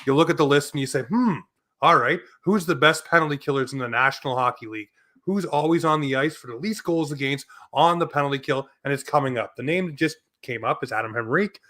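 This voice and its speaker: A man's voice: a little high, fast talker, a bit bright. A man co-hosting a sports podcast, giving casual commentary in an emphatic, opinionated way. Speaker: hockey podcast co-host.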